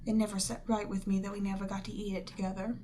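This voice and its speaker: A woman voicing a proud, seductive demon queen in a scripted ASMR roleplay, haughty and measured, lapsing into breathy near-whispers. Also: mid-range voice, level tone, mellow, slight background noise, lightly acted. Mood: wistful pride.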